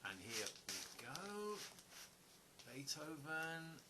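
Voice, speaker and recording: An actor playing a polite, wistful writer with gentle wry humour in an audio play, sometimes hesitant. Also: male voice, fairly high pitch, sing-song, drawn-out words, noisy background, exaggerated voice. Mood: musing.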